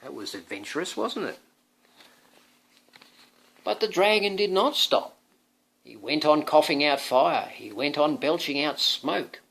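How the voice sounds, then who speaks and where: A man reading a children's storybook aloud in an animated, playful way, like a dad with questions for his young listener. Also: a little high, a little slow, gravelly, long pauses. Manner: dramatic, playful, often asking the listener questions. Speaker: father reading a picture book to his young son.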